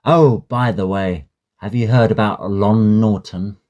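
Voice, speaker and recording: A man's voice: lowish voice, a little slow, a little mellow, highs cut off, natural voice. A man playing a chatty young Victorian Oxford student in an audio drama, jaunty and full of old-fashioned slang. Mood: curious, speculating.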